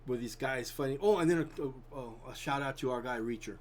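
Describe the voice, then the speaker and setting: A man's voice: mid-range voice, fairly quick, slight background noise. A middle-aged man chatting casually as a guest, rambling and easygoing, with his voice drying out. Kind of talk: casual chat.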